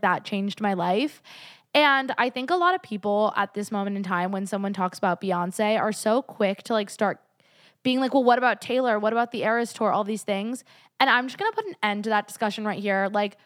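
A woman's voice: on the high side, fairly bright tone. A young woman hosting a casual solo podcast, stuffy from a cold, talking in a chatty, gushing way. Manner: chatty and gushing, heavy use of "like". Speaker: podcast host.

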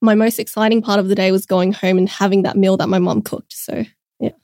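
A woman's voice: medium pitch, level tone, fairly quick, a little mellow, no echo, clean studio sound. A young woman chatting upbeat as a podcast guest, with many casual fillers. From Australia. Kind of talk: casual interview chat.

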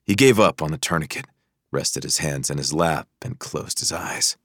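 A man narrates an audiobook thriller in a deep voice. The reading is scripted storytelling, recorded very dry and close. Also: animated, moderate pace, fairly bright tone, dry recording.

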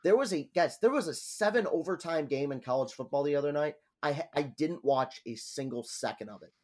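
A man's voice: a little high, animated, a little fast, a little mellow. Congested, nasal-sounding man. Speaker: podcast co-host. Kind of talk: casual chat.

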